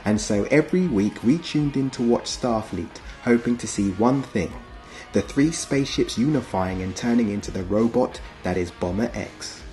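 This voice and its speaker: A man's voice: medium pitch, normal speed, warm tone, faint music behind. A man reading scripted narration in the style of a nostalgic documentary countdown.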